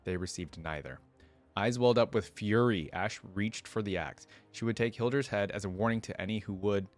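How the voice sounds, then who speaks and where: A man's voice: lowish voice, moderate pace, soft background music. A man hosting a reaction video, reading a fantasy story aloud and adding casual, conversational commentary with asides and questions.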